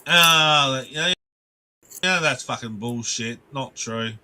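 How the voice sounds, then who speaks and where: A man's voice: mid-range voice, slow, sharp tone. A man ranting on a live stream, rambling and restarting his sentences, swearing and breaking off to greet viewers in the chat.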